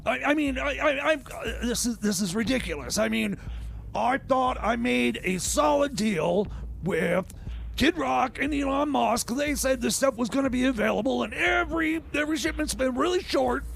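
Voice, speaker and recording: A man acts out a flustered radio-comedy mechanic with a high, heavily fried voice. He stammers, repeats himself and grumbles his way through each thought, over clearly audible noise. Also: animated, fairly bright tone, exaggerated voice. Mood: exasperated. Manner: stammering, halting, repetitive. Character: flustered, grumbling male time machine mechanic. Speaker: radio show sidekick playing a time machine mechanic. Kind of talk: improvised comedy radio theater.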